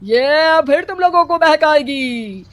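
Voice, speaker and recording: A scheming, bossy village headman from a cartoon storybook, scolding the villagers, as a performer puts him on. The voice sits high and the recording is dry and close. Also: expressive, takes it slowly, a bit bright, no echo, heavily acted. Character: scheming middle-aged male village headman.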